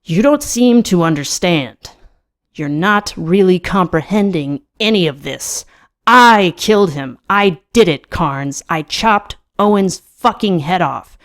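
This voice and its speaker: A woman's voice: lowish voice, lively intonation, slow. A woman voicing a desperate, sneering young man in an audiobook, with insistent, emphatic delivery. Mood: desperate insistence.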